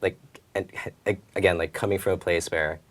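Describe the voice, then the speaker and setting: A man's voice: low-pitched, fairly even intonation, fairly quick. A man, speaking informally as a student panelist. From Philippines. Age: 20s.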